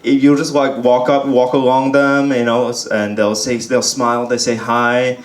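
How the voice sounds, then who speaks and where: A man's voice: medium pitch, little pitch movement, normal speed. A young man from Texas performing a chatty, self-deprecating stand-up set in a pub, often laughing as he talks. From United States (Texas). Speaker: stand-up comedian. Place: pub comedy stage. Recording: roomy pub sound with faint crowd murmur.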